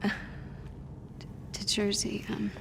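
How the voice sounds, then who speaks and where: An actress playing an awkward, self-doubting teenage girl hero in a video game, speaking haltingly with stammers. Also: background noise, close to an everyday voice. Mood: dejected. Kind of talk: naturalistic video game acting.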